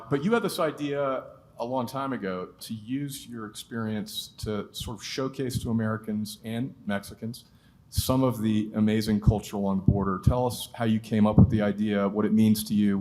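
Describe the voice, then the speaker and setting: A man's voice: on the low side, steady tone, normal speed, a little mellow, a little hiss or hum. A man moderating a panel, asking questions in a conversational way with occasional joking asides.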